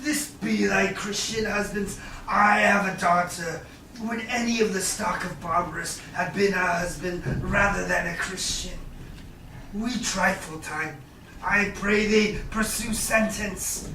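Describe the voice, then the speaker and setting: Man as bitter Shakespearean moneylender, theatrical, drawn-out delivery, distant noisy recording. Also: sits high, fairly even intonation, sharp tone, reverberant, exaggerated voice. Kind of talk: Shakespearean staged reading. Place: small indoor theatre stage. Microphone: distant audience camera.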